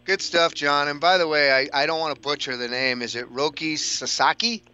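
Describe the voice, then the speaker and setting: A man's voice: a little high, fairly even intonation, normal speed, bright, forceful tone, clean tone. A man hosting a radio sports show, chatting loosely with plenty of fillers. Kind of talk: casual sports talk. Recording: dull lo-fi broadcast sound.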